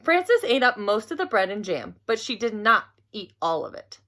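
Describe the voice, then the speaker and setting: A woman reading a picture book aloud to children in an expressive storytelling style. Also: fairly high pitch, moderate pace.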